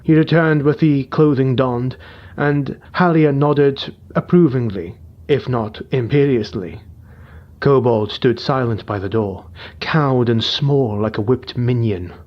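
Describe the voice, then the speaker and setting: A man narrating a dark fantasy audiobook in a measured, somber storytelling voice. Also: mid-range voice, dull audio.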